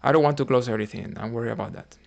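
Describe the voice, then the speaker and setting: Man, gravelly fry, middle-pitched, hesitant muttering, thinking out loud as a chess streamer. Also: rapid-fire. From Cuba. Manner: thinking aloud, muttering moves quietly, hesitant. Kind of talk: live-streamed commentary on his own chess games.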